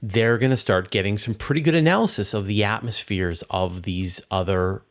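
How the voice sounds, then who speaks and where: A man's voice: on the low side, normal speed. A middle-aged man hosting a casual interview over a video call, asking conversational questions. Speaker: interview host. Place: indoor room on a video call.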